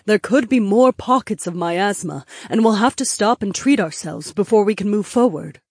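A solemn young princess priestess from a fantasy audiobook, played by a man. The voice is husky and breathy, soft and earnest, with careful phrasing and a dry, close sound. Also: high-pitched, expressive, normal speed, no room sound, pitched above the performer's own voice, heavily acted.

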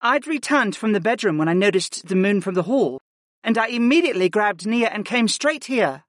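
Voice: mid-range voice, animated, moderate pace, no echo, studio quality, voice pushed up, close to an everyday voice. A narrator voicing a composed, professional, analytical woman healer mage in a fantasy audiobook. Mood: calm, concerned.

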